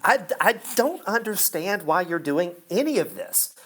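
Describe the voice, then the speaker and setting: A man's voice: sits high, very animated, measured pace, fairly bright tone, heavily acted. A pompous theatre critic acted by a man in a radio play, resentful and craven beneath his grand airs. His theatrical voice rises and falls widely as he talks. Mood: dry, uneasy.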